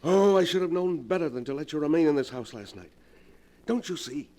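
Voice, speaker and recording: Actor as a grave, higher-voiced doctor, old radio drama. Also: male voice, expressive, mellow, lightly acted. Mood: grave, urgent. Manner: earnest, theatrical, deliberate. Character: grave, resolute male doctor plotting against evil spirit. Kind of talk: 1940s melodramatic radio acting. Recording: vintage 1940s radio recording.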